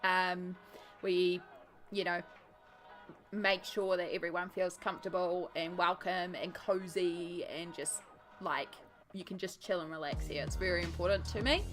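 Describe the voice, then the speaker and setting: A woman's voice: fairly low pitch, fairly even intonation, a little slow, soft background music. A young woman from New Zealand with a New Zealand accent, chatting casually and laughing as she reads out her live stream chat while colouring.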